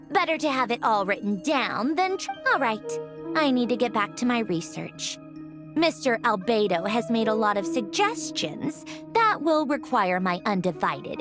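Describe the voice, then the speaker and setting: Haughty, high-pitched irritable young researcher. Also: female voice. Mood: grudging. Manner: snippy, sarcastic, dismissive. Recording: music behind the voice.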